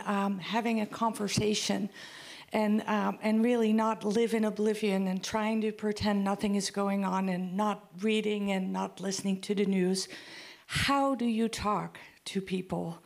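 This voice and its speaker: A woman from the Netherlands asks the panel a question from the audience. She speaks in a heartfelt, halting way. Her voice has a gravelly fry and a medium pitch. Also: steady tone, a little mellow. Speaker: audience member. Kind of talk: question from the floor.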